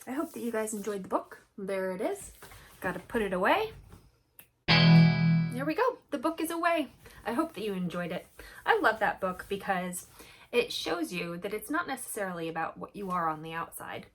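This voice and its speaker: A woman storyteller reading a picture book to young children, expressive and emphatic, chatting to them with questions. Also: medium pitch, moderate pace, soft background music. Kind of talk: animated storybook read-aloud with commentary to young children.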